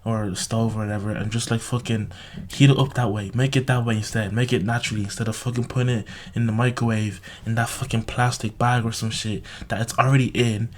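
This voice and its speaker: A man with a slightly raspy, sore-throated voice giving casual, unscripted advice to viewers, bluntly, with heavy swearing, repetition and frequent "okay?" tags. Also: mid-range voice, fairly even intonation, brisk. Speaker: self-improvement video host.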